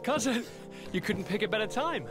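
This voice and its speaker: A man's voice: high-pitched, lively intonation, normal speed. Dramatic young noble guy, nervy n emotional, voice all over the place, game scene w music. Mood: flippant, jittery. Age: young adult. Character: theatrical, nervy young aristocrat, male, vulnerable. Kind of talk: scripted video game acting.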